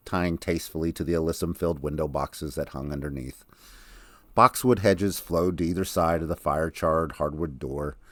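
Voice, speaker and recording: Male narrator for a novel, very low voice, close dry sound, scripted storytelling delivery. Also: no room sound. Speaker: author reading own audiobook.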